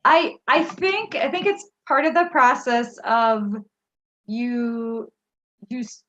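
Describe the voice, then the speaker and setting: A woman's voice: fairly high pitch, lively intonation, takes it slowly, a bit bright. A woman actor thoughtfully and tentatively explaining her approach in a casual question-and-answer session on a video call. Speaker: actor in a staged reading. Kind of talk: casual post-show Q&A. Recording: slightly dull online call audio.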